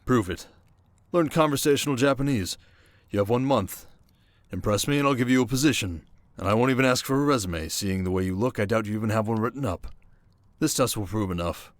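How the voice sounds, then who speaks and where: A man playing a stern, demanding middle-aged rich businessman father in an audio roleplay, speaking in a measured, formal, commanding way. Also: fairly low pitch, lively intonation, dry recording, close to an everyday voice. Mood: stern, testing.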